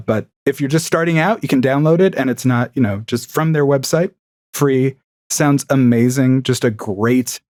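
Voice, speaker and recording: A man's voice: mid-range voice, darkish tone, dry recording, studio quality. A man chatting casually and enthusiastically as a podcast guest, recording from a home room with acoustic paneling. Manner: enthusiastic, chatty, with frequent fillers. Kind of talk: casual chat.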